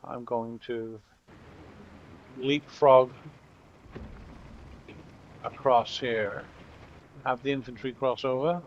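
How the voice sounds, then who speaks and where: Gameplay host, middle-aged guy with a muddled British-American accent, dry and joking, mid-pitched, dull lo-fi sound. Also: extremely slow, long pauses, a little hiss or hum. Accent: mixed British and American. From Britain. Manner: relaxed, wry, talks to his troops jokingly. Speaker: gameplay commentator. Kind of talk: casual strategy game commentary. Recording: dull lo-fi audio.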